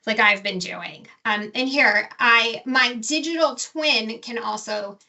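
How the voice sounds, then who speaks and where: Bright-voiced woman presenting from a distance. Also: on the high side, slow pace. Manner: enthusiastic, with frequent restarts and self-corrections. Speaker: professor giving a presentation. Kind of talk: casual presentation with slides. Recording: distant from the mic.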